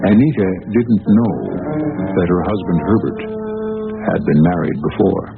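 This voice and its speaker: A man hosting an old-time radio mystery, narrating in a deliberate, suspenseful, dramatic style. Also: sits low, animated, gravelly. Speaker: host and narrator. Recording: tinny vintage radio, music behind.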